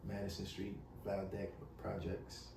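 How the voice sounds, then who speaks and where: A man's voice: low voice, monotone, a little slow, vocal fry, background noise. A man with a New York accent, talking casually as a music artist guest in an interview, rambling with lots of "you know what I'm saying". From New York City. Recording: roomy, distant mic with faint ambience.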